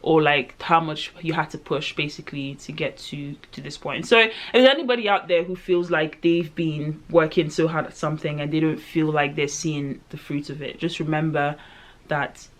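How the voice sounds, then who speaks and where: A woman in her late twenties talking to her camera while doing her makeup, chatty and reflective, rambling with lots of "um" and "like", with sudden excited jumps in pitch. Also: moderate pace. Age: late 20s. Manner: chatty, rambling, heavy use of fillers like "um" and "like". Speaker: vlogger. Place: at home in front of her own camera.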